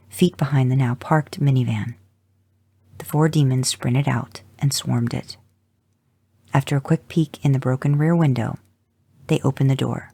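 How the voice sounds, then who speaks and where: A podcast host narrating a fanfic story aloud in steady second-person storytelling. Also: mid-range voice, creaky, slightly rough, dry recording.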